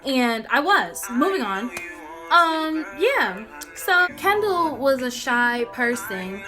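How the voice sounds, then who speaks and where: A woman's voice: high-pitched, very animated, slow pace. A young woman telling a casual, comedic story in a sassy, dramatic, slangy way, acting out the dialogue. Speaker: storytelling vlogger. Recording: music playing behind the voice. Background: clear background music.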